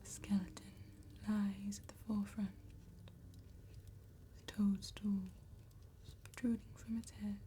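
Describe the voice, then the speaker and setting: A woman whispering an ASMR roleplay as a soft-spoken vampire tattoo artist, gently and deliberately, with pauses between phrases. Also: medium pitch, flat delivery, a little hiss or hum. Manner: slow, gentle, deliberate enunciation with long pauses.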